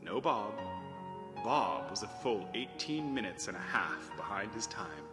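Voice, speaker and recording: A man's voice: fairly low pitch, sing-song, unhurried. A man narrating a classic tale in a scripted, dramatic storybook style. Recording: long hall reverb, music behind.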